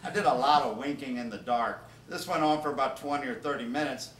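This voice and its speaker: A man's voice: mid-range voice, quick pace, a bit bright. A man reading comedic stories aloud as an author at a live event, with informal asides to his listeners. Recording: roomy, distant, noisy live event recording.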